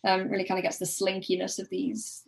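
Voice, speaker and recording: Creaky-voiced woman chatting as podcast guest. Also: medium pitch, completely flat delivery, a little fast. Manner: tentative, agreeable, chuckly. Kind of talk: casual book discussion.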